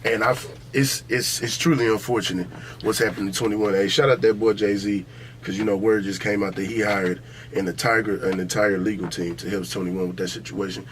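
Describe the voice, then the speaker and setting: A man's voice: on the low side, fairly even intonation, a little fast, fairly bright tone, a little hiss or hum. An American man hosting a podcast, talking casually and emphatically with heavy slang and swearing, repeating his points. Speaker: podcast host. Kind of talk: casual opinionated podcast talk.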